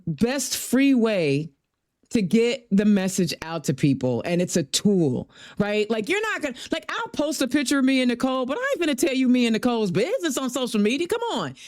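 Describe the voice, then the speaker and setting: A woman's voice: fairly high pitch, fairly quick. Folksy woman host in her fifties. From Indiana. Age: 50s. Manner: folksy, animated, playful. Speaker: podcast host. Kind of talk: casual interview chat.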